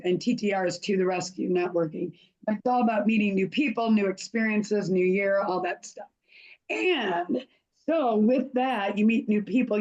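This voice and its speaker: Woman host, hoarse and chatty, mid-pitched, lo-fi video call sound. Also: a little mellow. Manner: chatty, loses her place and restarts. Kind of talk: casual online show introduction.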